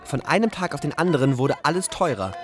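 A man's voice: a little high, sing-song, quick pace, very noisy. A man playing a young Iraqi refugee narrating his flight in a radio play. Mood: uneasy. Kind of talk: scripted first-person radio play narration.

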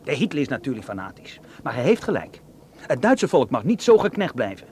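An actor playing a bitter, fervent young German nationalist who lectures in a radio drama. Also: male voice, mid-range voice, very animated, fairly quick, darkish tone, natural voice. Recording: noisy radio drama recording.

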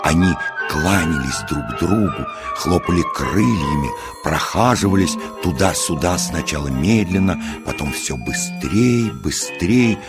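A man narrating a children's fairy tale as a measured, expressive storyteller. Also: low voice. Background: music.

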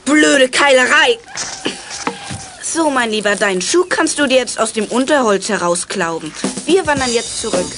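A woman's voice: a little high, animated, normal speed. A woman playing a decisive, sceptical schoolchild detective in a children's radio play, forthright in delivery. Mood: annoyed, commanding.

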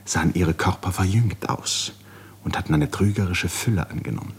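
Deep, husky male radio-play narrator. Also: normal speed. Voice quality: breathy, husky. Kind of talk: literary narration in a 1950s German radio play.

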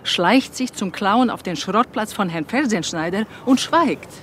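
A woman's voice: medium pitch, expressive, unhurried, lightly acted. A woman acting a polite, troubled snack-bar worker in a German radio drama. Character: worried snack-bar woman, wife of a suspect. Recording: clear background noise, ambient sounds.

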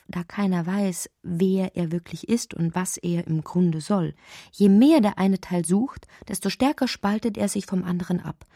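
A whimsical female storyteller played by an actress for a literary radio play. Her voice is soft-edged and mellow, with a husky grain, at a medium pitch. She narrates as if telling a dreamlike tale. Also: no room sound, studio quality, natural voice.

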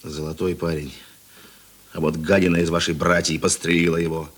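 An actor playing a stern, world-weary middle-aged police chief in a radio drama, with a husky, gruff, dry delivery. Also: male voice, low voice, flat delivery, brisk. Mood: stern, reproachful.

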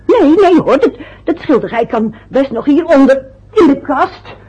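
A woman's voice: sits high, animated, a little slow, dark tone, dull audio. An actress playing an anxious, fussy middle-aged mother in an old radio play, chatty and eager to please. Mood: fretful.

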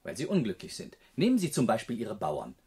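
A man playing an earnest, idealistic young scholar in a German radio drama, husky and lecturing, easily flustered. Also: on the low side, wildly swooping pitch, brisk, darkish tone, heavily acted. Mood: earnest, indignant.

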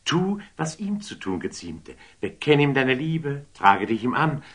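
An actor playing a warm, impulsive, generous sultan in a classic German radio drama, theatrical and coaxing. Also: male voice, mid-range voice, sing-song, unhurried. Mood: coaxing, playful. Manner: theatrical, coaxing, swinging from murmurs to exclamations.

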